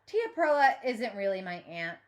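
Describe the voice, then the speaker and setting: A woman voicing an earnest, nervous young girl in a storybook, speaking deliberately and with emphasis. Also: mid-range voice, very animated, close to an everyday voice. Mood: earnest, emphatic.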